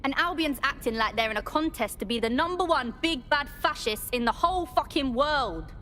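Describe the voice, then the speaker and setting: A young British woman, a blunt street-level rebel in a video game. Her voice is fairly high and her speech colloquial, with faint traffic and ambience behind. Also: animated, normal speed, sharp tone. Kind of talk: video game cutscene acting.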